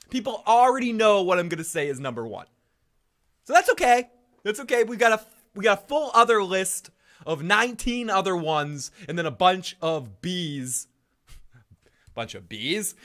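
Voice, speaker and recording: A middle-aged man with a Boston accent hosts a casual live stream, rambling and cursing with excitable outbursts, saying he is sick. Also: high voice. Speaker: podcast host.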